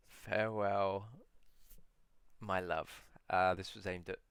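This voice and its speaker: A man in a casual solo video, musing aloud as he reads out old titles slowly, with many pauses and fillers. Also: deep voice, little pitch movement, gravelly, a bit bright, clear, resonant tone, dry recording, studio quality. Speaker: video blogger.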